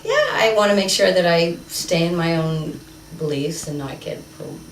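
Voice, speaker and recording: Brief: earnest woman participant, deep gravelly voice, distant mic in an echoey noisy room. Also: animated, moderate pace. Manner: earnest, questioning, laughs often. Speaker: workshop participant. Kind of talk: improvised role play.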